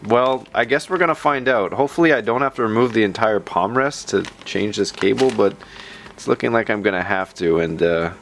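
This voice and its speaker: A man's voice: lowish voice, a little fast, gravelly, fairly bright tone, slight background noise. A man hosting a tech video in a casual, chatty way, with rambling, self-deprecating asides. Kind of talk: casual vlog narration.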